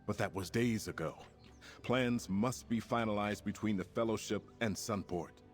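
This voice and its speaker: Resolute male alliance commander played by a man for fantasy game: low pitch, stately formal delivery, music behind. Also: normal speed, close to an everyday voice. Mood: grave, determined. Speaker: quest-giver in a fantasy video game. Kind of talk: scripted game dialogue.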